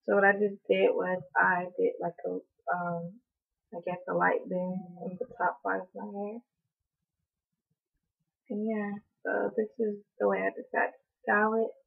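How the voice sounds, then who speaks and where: Young woman vlogging a haul, hoarse and raspy, lots of ums, tinny webcam sound. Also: medium pitch, flat delivery, unhurried. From North Carolina. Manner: chatty, apologetic, lots of ums, coughs now and then. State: hoarse from allergies. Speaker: beauty vlogger. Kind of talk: casual haul video. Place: small indoor room, in front of a door. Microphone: webcam at close range.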